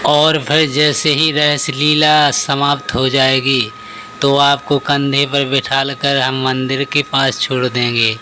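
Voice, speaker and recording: Man voicing bright-voiced, earnest simple devotee Bholu. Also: mid-range voice, level tone, slow pace, slight background noise. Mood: devout pleading. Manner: pleading, guileless, devout. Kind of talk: Hindi devotional cartoon story acting.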